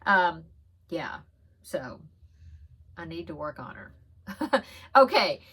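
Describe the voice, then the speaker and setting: A woman talks through her crochet projects in a relaxed, rambling way, full of ums and little giggles. One side of her nose is stuffed up, which gives her voice a slightly blocked sound. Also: lowish voice, extremely slow, breathy edge. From Texas. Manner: chatty, giggly, rambling with frequent fillers. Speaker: crochet podcast host. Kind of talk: casual show-and-tell chat.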